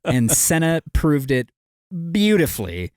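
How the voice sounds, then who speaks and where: A man's voice: fairly high pitch, big pitch swings, a little slow, darkish tone, clear, resonant tone, dry recording, studio quality. Man co-hosting, telling wry stories. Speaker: podcast co-host. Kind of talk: casual chat.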